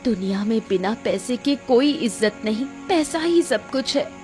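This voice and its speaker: A woman's voice: a little high, expressive, a little slow, a little mellow, pitched above the performer's own voice. Woman acting a humble, earnest village wife. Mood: hurt, resolute. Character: humble, wronged village wife, pleading yet dignified. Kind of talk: cartoon story character acting. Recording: music under the voice.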